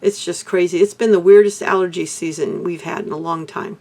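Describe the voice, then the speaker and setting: An older woman from Texas, hoarse, chatting casually to camera in a rambling way about her crafts and life. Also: lowish voice, steady tone, brisk, darkish tone. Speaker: beadwork vlogger.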